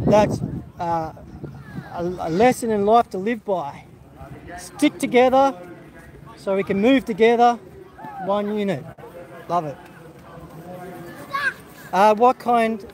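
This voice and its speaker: A man's voice: high voice, low-quality recording. An Australian man of middle age chatting casually on a livestream at an outdoor football carnival, rambling with many fillers and restarts as he greets viewers. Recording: crowd noise behind.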